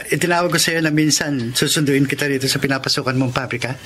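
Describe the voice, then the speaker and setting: A man's voice: mid-range voice, fast talker, fairly bright tone, a little hiss or hum, lightly acted. A young man playing a cheerful, bashful suitor in a radio drama, laughing often and speaking earnestly. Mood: tender.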